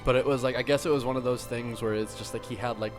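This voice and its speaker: A man's voice: medium pitch, fairly even intonation, fast talker, music in the background. A man hosting a casual behind-the-scenes group chat, talking in a rambling, explanatory way. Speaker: host of a radio-drama group.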